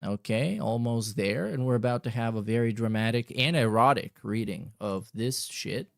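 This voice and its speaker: A man's voice: mid-range voice, normal speed, gravelly, dry recording. A man hosting a casual livestream, reading messages aloud with irreverent, profane and sarcastic commentary. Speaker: podcast host.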